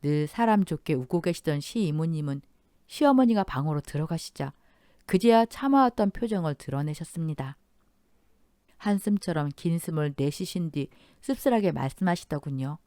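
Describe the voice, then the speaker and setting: A woman narrating a dramatized life story in a soft, measured way, like on story radio. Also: low voice, animated, no echo, studio quality.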